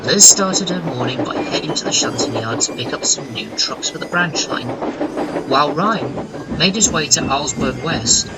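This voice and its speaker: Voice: medium pitch, expressive, normal speed, heavy background noise. A narrator reading a scripted children's story in a storybook style. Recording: loud music behind the narration.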